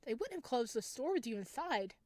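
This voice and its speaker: A woman's voice: on the high side, sing-song, fairly quick, darkish tone, dry recording. A woman playing a horror visual novel, reading its second-person narration aloud and adding asides to her viewers. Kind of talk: reading game text aloud with commentary.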